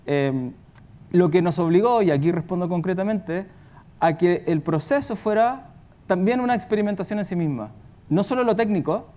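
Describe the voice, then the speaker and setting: A man's voice: high-pitched, normal speed, warm tone. A Chilean man speaking spontaneously on a panel as a radio and podcast producer, in a colloquial, rambling, anecdotal way.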